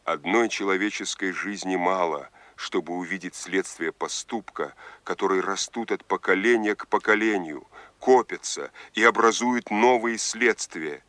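An actor playing a grave voice from the future, solemn and measured, in Soviet radio drama style. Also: male voice, low voice, sharp tone, no echo.

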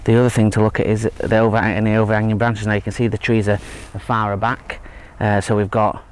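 A man in his thirties giving a relaxed, hesitant fishing tutorial outdoors by a lake, with lots of fillers and restarts. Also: lowish voice, a little fast, clean tone. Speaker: angling instructor. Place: outdoors at a lakeside fishing spot. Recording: faint outdoor background noise. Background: faint outdoor ambience.

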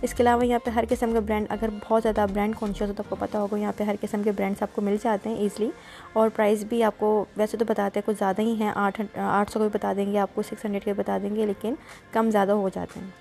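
A woman's voice: medium pitch, level tone, fast, creaky, warm tone, soft background music. A woman vlogger, chatting through a market tour and explaining prices. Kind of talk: casual voice-over narration.

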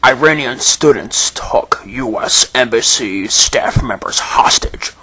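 A man's voice: mid-range voice, takes it slowly, sharp tone, breathy edge, low-quality recording. A young man reading scripted narration for an amateur history skit.

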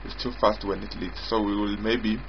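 A man giving an informal screen-recorded software demo as its developer, in a halting way, often repeating and rephrasing. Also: on the low side, fairly even intonation, slight background noise. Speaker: software developer demonstrating own app. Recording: dull lo-fi mic with prominent music.

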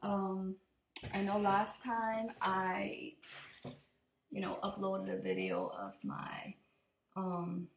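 A young woman with a slightly raspy voice from allergies gives a casual, unscripted update to camera in a hesitant, rambling way. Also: mid-range voice, expressive, very slow, long pauses, a little hiss or hum. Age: young adult. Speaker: vlogger. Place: indoor open kitchen and living room. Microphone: computer mic at desk distance. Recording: echoey room, computer mic.

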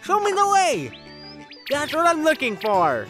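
A fussy cartoon rabbit, grumbling in a highish, swooping voice. The delivery is slow and emphatic, with soft children's music behind it. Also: female voice, wildly swooping pitch, exaggerated voice. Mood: intent. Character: fussy rabbit seeking absolute quiet for a friend.

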